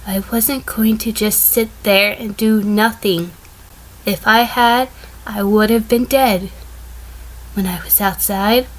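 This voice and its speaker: A woman playing a cool, guarded sheep defendant testifying deliberately in a fan audio drama. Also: medium pitch, rough recording. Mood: cool, sardonic. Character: female sheep defendant, cool, guarded former assistant mayor.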